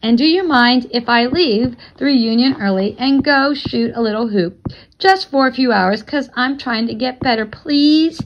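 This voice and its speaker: A woman's voice: a little high, unhurried, muffled recording, a little hiss or hum. A woman voicing an eager young boy with a chatty, pleading manner in a storybook read-aloud.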